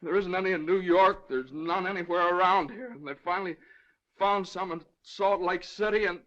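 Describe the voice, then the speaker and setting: High, bright-voiced desperate young American father. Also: fairly even intonation, moderate pace, highs cut off. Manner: pleading, insistent, agitated. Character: desperate young working father pleading for his sick baby. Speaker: actor. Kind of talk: old Hollywood melodrama acting.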